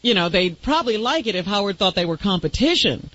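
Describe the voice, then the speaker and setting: A woman's voice: medium pitch, very animated, fast talker, a bit bright, lo-fi sound. A woman co-hosting a radio show, talking casually and reflectively in discussion. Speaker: radio co-host.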